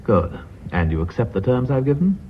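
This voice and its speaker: British company boss in old radio play, husky, very formal and confident, dull vintage sound. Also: male voice, mid-range voice, big pitch swings. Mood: cool, ironic. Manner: measured, formal, drily ironic. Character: authoritative, coolly confident British businessman and company chairman. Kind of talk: radio drama acting. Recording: muffled vintage radio drama recording.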